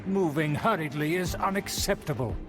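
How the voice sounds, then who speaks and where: A man's voice: on the high side, moderate pace, music in the background, lightly acted. An actor playing a pompous, easily offended nobleman in a video game, speaking formally and imperiously. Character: haughty, pompous male aristocrat, proud and easily offended. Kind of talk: video game character acting.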